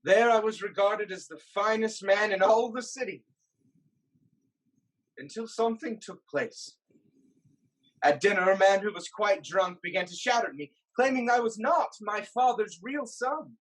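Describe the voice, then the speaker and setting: Performer as proud, tormented king in ancient tragedy: husky voice, grave and declamatory delivery. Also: medium pitch, measured pace, lightly acted, poor audio. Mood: grave, brooding. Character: anguished proud king of Thebes, haunted by prophecy. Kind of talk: dramatic reading of Greek tragedy.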